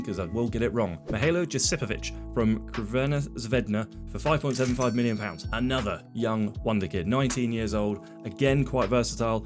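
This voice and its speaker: A man with a stuffy, cold-blocked voice, casually talking through his football management game, chatty and self-deprecating, often trailing off mid-sentence. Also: fairly low pitch. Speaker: game commentator. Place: home desk setup.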